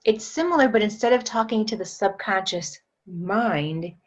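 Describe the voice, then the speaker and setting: A woman guest on a podcast interview, explaining her energy work in an unhurried way, with many fillers and restarts. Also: mid-range voice, animated, lo-fi sound. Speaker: guest, metaphysician and energy trainer.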